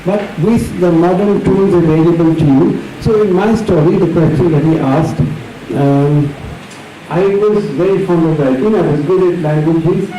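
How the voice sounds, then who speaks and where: A man's voice: fairly high pitch, warm tone, a little hiss or hum. An older man from India, an author speaking as a festival panel guest in an earnest, encouraging way. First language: English spoken at home, family Punjabi. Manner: earnest, measured, encouraging audience. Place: festival hall. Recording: echoey hall from far off.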